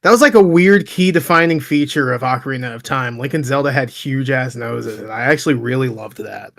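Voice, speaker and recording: A man's voice: mid-range voice, fairly quick, vocal fry, a bit bright. A man chatting casually as a co-host in a group conversation about movies and games. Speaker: podcast co-host.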